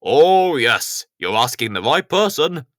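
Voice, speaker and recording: A man's voice: mid-range voice, very animated, slow, fairly bright tone, no echo, clean studio sound. Man putting on a knowing, slightly grumpy storybook character. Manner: explanatory, knowing.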